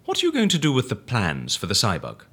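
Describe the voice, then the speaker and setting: An actor playing a brisk, flamboyant fixer who stages fake deaths, pressing and exclamatory in a comedic audio drama. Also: male voice, lowish voice, wildly swooping pitch, pushed and bright, breathy edge, heavily acted. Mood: firm pressure.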